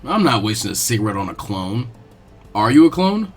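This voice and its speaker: Play as a man acting out a gruff, world-weary ninja mentor in an anime story: pitch dropped, dry and wry, with lively turns of intonation. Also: brisk, soft background music, heavily acted. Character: gruff, wry grown male ninja teacher, smoker.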